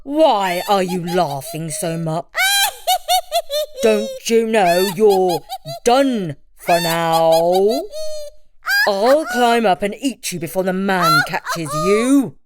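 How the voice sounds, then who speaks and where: Scornful, threatening jungle tiger female narrator performing, roaring slowly with big swings in pitch. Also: expressive, heavy background noise. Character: fierce, scornful male tiger.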